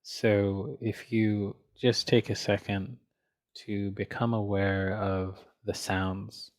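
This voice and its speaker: Male meditation teacher, low and even in tone, gentle delivery with plenty of space between phrases. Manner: gentle, unhurried, pausing often between phrases. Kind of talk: guided meditation.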